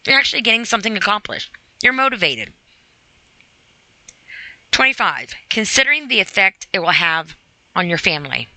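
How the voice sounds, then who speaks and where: Bright, forceful podcast host, blunt and informal. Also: medium pitch, big pitch swings, normal speed, pauses often, no room sound, poor audio. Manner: blunt, informal, asks listener rhetorical questions; emphatic repetition of phrases. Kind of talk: reading a self-help list with informal commentary.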